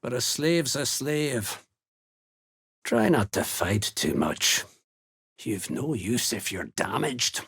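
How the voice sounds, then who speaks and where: Gruff, raspy, menacing dwarf slave hunter. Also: male voice, mid-range voice, sing-song, slow, pauses often, a bit bright, full character voice. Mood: blunt, scornful. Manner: blunt, threatening, folksy rustic turns of speech. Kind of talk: video game character dialogue.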